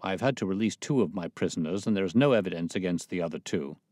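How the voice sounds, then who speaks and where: A man's voice: low-pitched, lively intonation, fast talker, darkish tone, dry recording, studio quality. A man voicing a bluff, hearty, self-important police officer with an emphatic manner, in an audiobook. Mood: businesslike.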